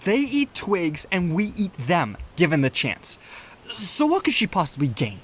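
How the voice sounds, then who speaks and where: A man's voice: high voice, huge pitch swings, measured pace, husky, full character voice. A man voicing a young animal nephew, skeptical, wary and sardonic, in a dramatic fandub. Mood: challenging, wary. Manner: doubting, sardonic.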